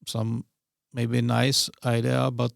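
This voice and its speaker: A middle-aged man with a Czech accent, chatting as a podcast guest. He speaks slowly and haltingly, with lots of fillers, and his voice is gravelly. The sound is close and dry. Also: medium pitch, completely flat delivery, no echo. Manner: hesitant, many fillers and broken phrasing. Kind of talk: casual interview chat.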